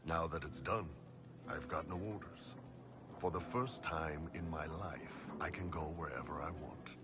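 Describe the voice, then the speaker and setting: A man's voice: a bass voice, measured pace. An actor playing a big, easygoing male mercenary warrior in a video game, talking bluntly and casually. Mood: blunt, content. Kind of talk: video game character dialogue. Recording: music under the voice.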